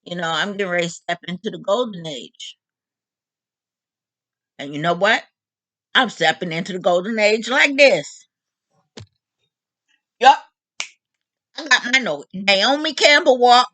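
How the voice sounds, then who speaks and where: Bright-voiced woman in her fifties. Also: medium pitch, a little slow, pauses often, no echo. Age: 50s. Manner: animated, candid, joking. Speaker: vlog host. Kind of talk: casual monologue.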